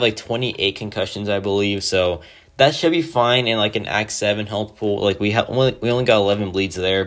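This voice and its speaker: Guy with a scratchy sore throat rambling excitedly about his fave game character, lowish voice. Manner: enthusiastic, rambling, many "like" fillers. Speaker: mobile game player. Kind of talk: casual gameplay commentary.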